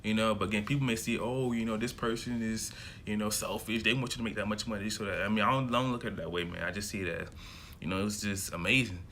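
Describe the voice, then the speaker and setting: Chatty young man, bright rambling voice. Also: on the low side, fairly even intonation, fast, a little hiss or hum. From America. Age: young adult. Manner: loose and chatty, full of fillers and restarts. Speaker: self-development vlogger. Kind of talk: casual rambling monologue to camera.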